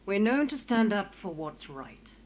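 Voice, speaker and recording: A woman's voice: mid-range voice, sing-song, measured pace, darkish tone, a little hiss or hum. An actress playing a principled, dignified marshal in a video game, formal and precise in her wording. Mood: dignified, firm. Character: principled, dignified female marshal, firm and proper.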